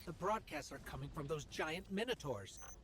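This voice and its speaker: A voice actor playing a helpful robotic companion giving brisk, informative reports. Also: male voice, fairly high pitch, animated, lightly acted. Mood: alert.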